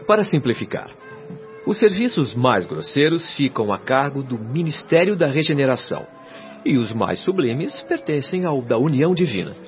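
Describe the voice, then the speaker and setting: A man's voice: medium pitch, big pitch swings, normal speed, dull audio, natural voice. Male actor for solemn, devoted afterlife guide, radio drama, formal teaching tone with wide intonation and faint music. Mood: instructive. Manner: formal, didactic, admiring. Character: devoted, solemn male resident helper of a spirit colony. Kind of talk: Brazilian radio soap acting.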